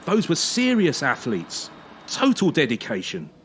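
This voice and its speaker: A sneering, slow-talking gymnastics coach. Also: mid-range voice, wildly swooping pitch, a little hiss or hum. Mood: scolding. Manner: sarcastic, scolding, deliberate with pointed pauses. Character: harsh, sneering former champion turned gymnastics coach. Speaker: actor in a radio play. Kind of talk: radio drama acting.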